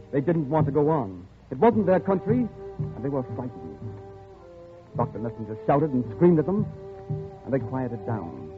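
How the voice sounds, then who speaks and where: An actor playing a world-weary gentleman adventurer in a radio drama, speaking in a measured, wry, brooding way. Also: fairly high pitch, lightly acted. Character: world-weary gentleman adventurer narrating his doomed jungle expedition. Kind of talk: 1940s radio drama narration and acting. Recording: tinny old radio broadcast.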